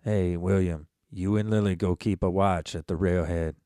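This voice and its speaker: A man acting as a western rancher and father with a low voice and a soft, dark tone. He is gruff, steady and protective, giving terse orders as in an audio drama. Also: moderate pace, natural voice. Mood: businesslike. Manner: terse, commanding.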